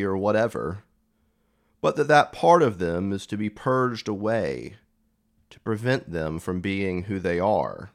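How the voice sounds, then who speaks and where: A man preaching a reflective homily in measured, deliberate phrases. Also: lowish voice.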